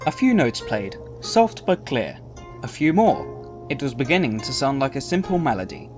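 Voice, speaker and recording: A male narrator tells a scripted story. His voice sits at a middle pitch, with faint music underneath. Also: big pitch swings, normal speed, darkish tone.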